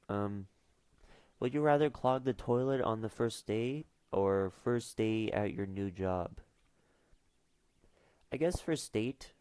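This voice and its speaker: A man's voice: lowish voice, a little mellow, smooth, no echo. A teenage boy streaming casually, mumbling and trailing off with fillers as he reads questions aloud. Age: teens. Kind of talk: casual livestream chat.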